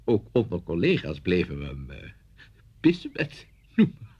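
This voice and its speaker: An older schoolteacher, chatty and complacent, in a radio play. His voice is husky and swings widely in pitch as he muses in a knowing, conversational way. The recording is dull and muffled. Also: huge pitch swings, measured pace, a little mellow, full character voice. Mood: musing, amused. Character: chatty, complacent retired male schoolteacher, reminiscing. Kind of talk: naturalistic radio drama acting.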